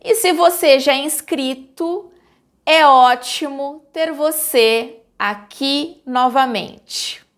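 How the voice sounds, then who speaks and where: A Brazilian woman with a Brazilian Portuguese accent, hosting her channel and teaching the meanings of a common verb in slow, clearly articulated scripted narration. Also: high-pitched, fairly bright tone.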